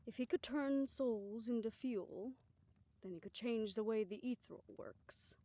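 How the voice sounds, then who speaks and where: A woman performing a scripted audio roleplay, voicing several characters in a soft, hushed way that often drops to a whisper. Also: on the high side, measured pace, no echo.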